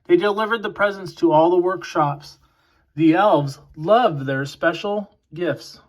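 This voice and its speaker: A man with a fairly high voice narrates a children's storybook. He phrases each line deliberately, in the style of a read-aloud for young listeners. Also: highs cut off. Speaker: children's picture book reader.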